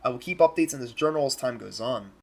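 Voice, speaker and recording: A man's voice: mid-range voice, lively intonation, fast, creaky. A man playing an earnest, plain-spoken young American soldier, narrating his war journal in a scripted audio drama. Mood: earnest, resolved. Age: young adult. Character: eager twenty-year-old American soldier keeping a war journal.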